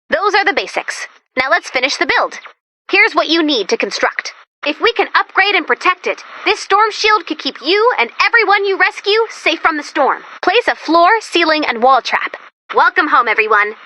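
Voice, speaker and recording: High, perky game robot helper. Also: female voice, sharp tone, heavily acted. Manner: chirpy, encouraging, quippy. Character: perky, quirky, upbeat female robot helper guiding a commander. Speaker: mission guide. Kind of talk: video game tutorial voice lines.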